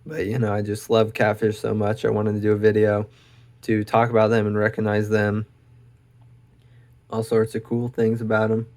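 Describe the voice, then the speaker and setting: A young man hosting an educational video, talking casually and enthusiastically about animals, with lots of fillers, his voice getting hoarse. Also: lowish voice, completely flat delivery, moderate pace, darkish tone. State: losing his voice. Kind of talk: casual unscripted explainer.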